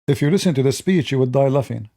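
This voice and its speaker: Mocking man with a mellow voice. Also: medium pitch, expressive, fast. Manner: mocking, rambling, with filler restarts. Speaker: commentator. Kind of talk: political commentary to viewers.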